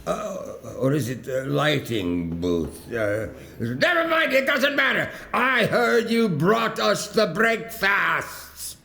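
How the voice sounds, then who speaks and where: An actor playing a theatrical, dramatic male ambassador who gropes for words and recites verse with grand pauses. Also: big pitch swings, vocal fry, sharp tone, full character voice. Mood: flustered cheer. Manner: slow, searching for words, with sweeping pitch. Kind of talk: audio drama acting.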